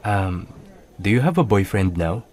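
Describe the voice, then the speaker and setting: Low-voiced, confident young Filipino suitor. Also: male voice, sing-song, unhurried, darkish tone, slight background noise. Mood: smooth, tentative. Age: young adult. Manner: casual, switches between Tagalog and English. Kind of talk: radio drama dialogue in Taglish.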